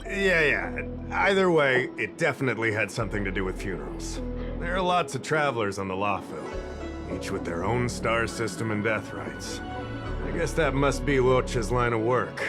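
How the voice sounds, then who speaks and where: An actor playing a casual, chatty middle-aged security officer who rambles a little in a game cutscene. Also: male voice, mid-range voice, lively intonation, moderate pace, lightly acted. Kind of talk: game voice acting. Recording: game cutscene with music behind.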